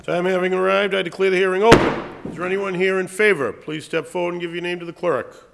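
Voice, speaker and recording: A man's voice: high-pitched, steady tone, quick pace, creaky, fairly bright tone, a little hiss or hum. A middle-aged man speaking formally as a council president, running a public hearing by procedure. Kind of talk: formal procedural meeting talk. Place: large council chamber.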